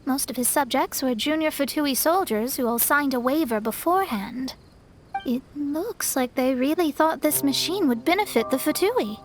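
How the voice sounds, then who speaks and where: An earnest young female investigator from a video game. The voice is high and articulate, laying things out step by step, with soft music underneath. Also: expressive, normal speed. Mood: earnest, explanatory. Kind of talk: video game English dub acting.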